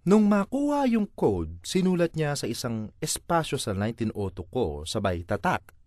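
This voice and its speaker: A man's voice: mid-range voice, wildly swooping pitch, moderate pace, darkish tone, dry recording, clean studio sound. A man with a Filipino accent reads a comic monologue in a theatrical, exasperated manner. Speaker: monologue reader. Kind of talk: dramatic comic monologue reading.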